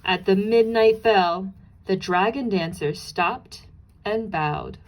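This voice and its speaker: Slow-paced woman reading a storybook. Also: medium pitch, poor audio. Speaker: storybook narrator. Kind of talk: expressive children's read-aloud.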